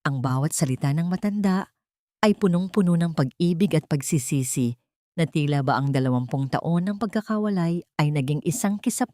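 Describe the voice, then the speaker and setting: A woman storyteller with a low voice and a close, dry sound. She narrates a scripted drama in a solemn, emotive manner. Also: warm tone, no room sound.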